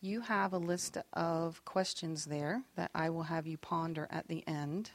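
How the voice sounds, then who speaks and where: Animated woman leading a faith workshop. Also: low-pitched, unhurried, dry recording. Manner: animated and expressive, acting out quoted lines; chatty and self-deprecating, with asides to the group. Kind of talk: teaching a faith workshop to a group.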